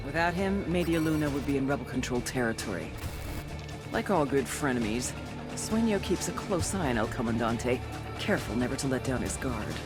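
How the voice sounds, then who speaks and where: A woman's voice: rumbling low, animated, brisk. An actress playing a tough, sardonic female intelligence agent, narrating a game briefing in a wry, foul-mouthed way over music. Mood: wry, cool. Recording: music behind the voice.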